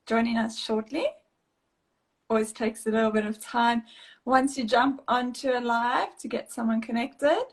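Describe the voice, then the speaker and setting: A woman's voice: a little high, low-quality recording. A woman from South Africa hosting a casual live video chat, interviewing a guest in an upbeat, chatty way. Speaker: host.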